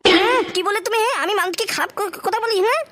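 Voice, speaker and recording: A foul-mouthed little cartoon brat, extremely shrill and artificially pitched up. He rattles along very fast in a whiny, crude way, punctuating lines with short questions, as in a homemade comedy dub. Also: pushed and bright. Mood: irritated. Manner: whiny grumbling, crude, repeated question tags. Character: grumpy, foul-mouthed bratty boy. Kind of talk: amateur comic cartoon dub.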